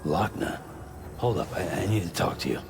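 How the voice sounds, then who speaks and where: A weary, blunt game hero with a deep, creaky voice. He speaks in terse, gruff phrases against clear ambient noise. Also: lively intonation, normal speed. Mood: urgent. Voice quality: gravelly. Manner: terse, low-key. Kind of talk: dramatic video game acting. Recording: clearly noisy ambient background.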